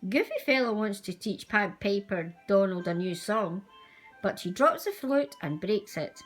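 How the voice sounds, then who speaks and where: Slow, animated woman reading a storybook. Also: medium pitch, faint music behind, low-quality recording. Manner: expressive, deliberate read-aloud delivery. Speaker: storyteller reading a children's book aloud. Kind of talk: scripted storybook narration for young children.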